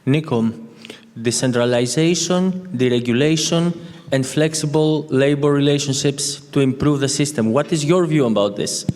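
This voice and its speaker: A man's voice: medium pitch, normal speed, a little mellow. A man moderating a conference panel, putting questions to the panelists. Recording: long reverb of a conference hall.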